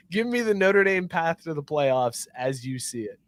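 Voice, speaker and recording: A man with a slightly high voice hosts a casual sports show. He chats informally with his panel, and the sound is very dry. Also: very animated, unhurried, dry recording.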